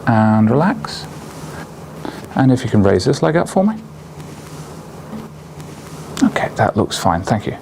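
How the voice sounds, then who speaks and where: A man's voice: mid-range voice, sing-song, unhurried, long pauses, a little mellow, slight background noise, low-quality recording. A man working as a doctor, giving polite, procedural step-by-step instructions during a clinical exam. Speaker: doctor examining a patient.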